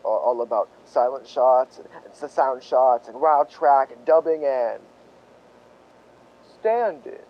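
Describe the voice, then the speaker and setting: A man acting a folksy, sly police lieutenant who toys with suspects in a halting, mock-humble way, in radio drama style. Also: medium pitch, lo-fi sound.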